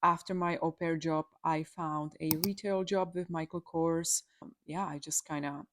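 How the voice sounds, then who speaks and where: A young woman with a Czech accent, speaking a little lower than most women. She tells her story in a chatty, candid way with fillers and small restarts, like a relaxed personal vlog. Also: moderate pace. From Czech Republic. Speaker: electrologist.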